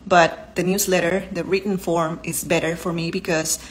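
A woman's voice: fairly low pitch, little pitch movement, moderate pace, slight background noise. A woman in her thirties whose first language is Spanish, speaking English with a Spanish accent. She talks to the camera at home and explains health topics in an earnest way, listing terms one by one. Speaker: vlogger and doctor. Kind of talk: casual talk to camera. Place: home living room, indoors.

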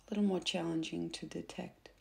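Woman, meditation guide, low even pitch, soft and breathy at phrase ends, gentle instructions with pauses. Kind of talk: soothing guided meditation narration.

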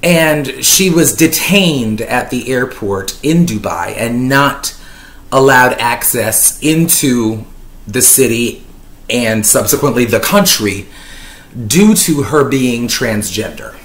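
A woman's voice: very deep voice, lively intonation, slow. A woman from Lebanon in her thirties, talking casually to her webcam from a sofa, chatty and wordy with a dry, ironic streak and plenty of fillers. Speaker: YouTuber. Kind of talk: casual commentary vlog. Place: living room, on a sofa. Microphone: webcam at desk distance. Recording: echoey room.

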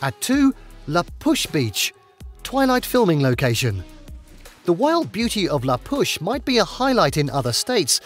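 A man giving scripted travel narration in an upbeat countdown delivery. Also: fairly high pitch.

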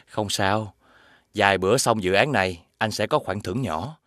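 A man voicing a tired, hardworking father with a gentle, apologetic way of talking. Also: fairly low pitch, moderate pace, no room sound, studio quality, natural voice.

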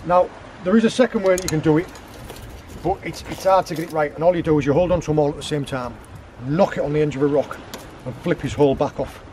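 A man's voice: a little high, quick pace, darkish tone, a little hiss or hum. A man in his thirties on a rocky beach, explaining step by step how he prepares seafood while working with his hands. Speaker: guest forager demonstrating cooking. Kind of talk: casual outdoor how-to explanation.

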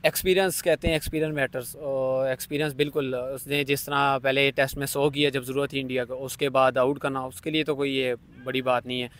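A man's voice: medium pitch, flat delivery, brisk, hardly any pauses, a bit bright. A man answering interview questions casually and with assurance.

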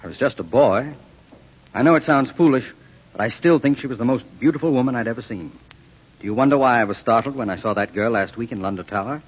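An actor playing a young detective in an old radio drama, polite, discreet and quietly persistent. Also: male voice, medium pitch, fast, breathy edge, natural voice. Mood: wistful, curious. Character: young, discreet, earnest Scotland Yard detective. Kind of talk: 1940s radio drama acting. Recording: muffled old radio broadcast.

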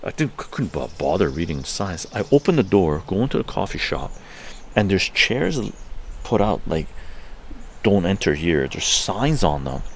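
An older man from Canada, livestreaming from his outdoor patio, telling a story in an animated, laughing way with crude language. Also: moderate pace, a little hiss or hum. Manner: animated, laughing, swearing, acts out quotes in higher voices. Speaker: livestream host. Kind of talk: casual storytelling to a live chat.

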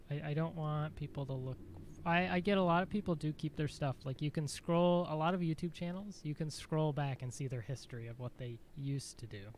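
A man streaming a game, chatting casually in a dry, monotone voice, rambling with fillers and self-corrections. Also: mid-range voice, a little hiss or hum. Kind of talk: casual live-stream chat.

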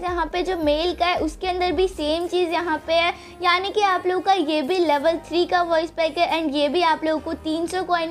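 A young woman with an Indian accent, hosting a gaming channel and explaining a game event step by step to viewers. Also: very high-pitched. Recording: voice-over with music underneath. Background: background music.

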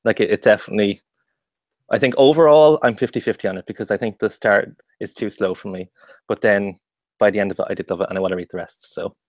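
Lowish, easygoing young man. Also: fast, dry recording. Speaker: book club panelist. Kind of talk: casual book discussion. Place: home room, indoors. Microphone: earphones on a video call.

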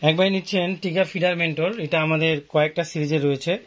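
A man's voice: a little high, level tone, fairly quick. A man with a Bangladeshi accent informally showing products as a shop seller, explaining and repeating himself, recorded on a phone in a small shop. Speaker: fishing tackle seller. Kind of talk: informal product showcase for customers. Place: small indoor fishing tackle shop. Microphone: handheld phone near the speaker. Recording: noisy phone recording with clicks.

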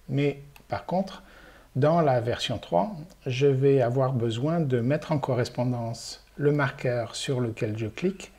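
Older man calmly explaining code, mellow voice. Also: mid-range voice, husky. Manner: calm, step-by-step explaining. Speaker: programming teacher. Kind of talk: screen-recorded coding tutorial.

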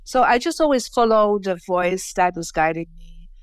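A woman's voice: medium pitch, animated, normal speed, smooth, no echo, clean studio sound. A middle-aged woman from Belgium, a guest answering interview questions in a chatty way, often tagging her sentences with "you know" and "right?", speaking through earphones on a video call from a room at home. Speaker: guest, feng shui teacher. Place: bright indoor room at home. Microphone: earphone headset on a video call.

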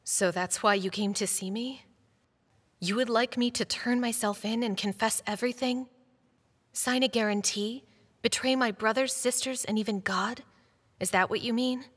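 A woman's voice: fairly high pitch, steady tone, moderate pace, a bit bright, breathy edge. A woman playing a devout, defiant middle-aged wife in a dubbed stage drama, earnest, preachy and challenging. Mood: indignant. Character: devout, defiant wife pursued for her faith. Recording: reverberant hall-like sound.

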